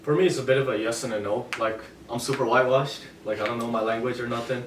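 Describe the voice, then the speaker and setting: A man's voice: medium pitch, steady tone, fast talker. A young man in a casual group discussion, talking in a breezy, slangy way. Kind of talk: casual chat. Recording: roomy, from a distance, faint noise.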